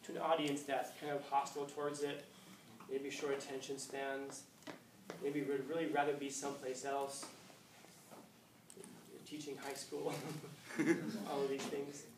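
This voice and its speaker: A man in his fifties, a high school teacher lecturing a class, acting out scenes with playful voices. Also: level tone, a little slow, pauses often, noisy background. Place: classroom, indoors. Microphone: far camera. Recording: echoey classroom from far off.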